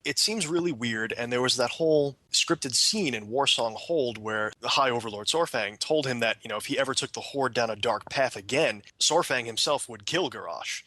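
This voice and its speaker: A man in a casual panel discussion, giving opinions while pausing and searching for words. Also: medium pitch, bright, forceful tone, no room sound. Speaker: podcast panelist.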